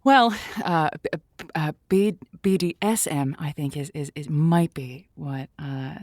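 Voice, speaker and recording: Radio advice host played by a woman: tactful, slightly awkward, low register, dry recording, conversational comic audio play. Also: slow, darkish tone, no echo. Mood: hesitant, explaining.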